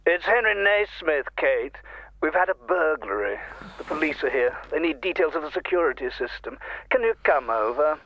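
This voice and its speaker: A man's voice: fairly high pitch, animated, normal speed. An actor playing a worried male client on a late-night telephone call in a radio drama. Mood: urgent.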